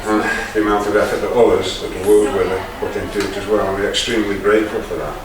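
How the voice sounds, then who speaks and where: An Englishman makes a formal presentation on behalf of a community group. His voice is fairly low and heard from a distance in a very echoey room, with clear noise behind. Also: steady tone, fast.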